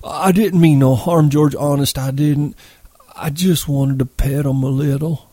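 A man voicing a big, childlike, simple-minded man with breathy, pleading, rustic speech in a story. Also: fairly high pitch, steady tone, a little slow, mellow, dry recording, studio quality, pitched above the performer's own voice.

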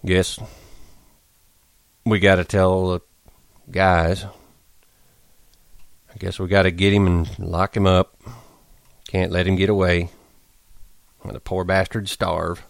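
A man's voice: low voice, flat delivery, slow, creaky, pauses often, no room sound, exaggerated voice. A man voicing a resigned, stern ranch worker with plain, rustic American speech, in an audiobook. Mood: grim, resigned.

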